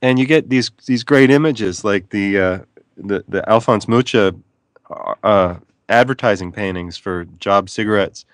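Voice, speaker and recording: A man's voice: on the low side, measured pace, dry recording. A man who is an author, talking as a guest in a casual radio interview, playful and wry, wandering off on tangents.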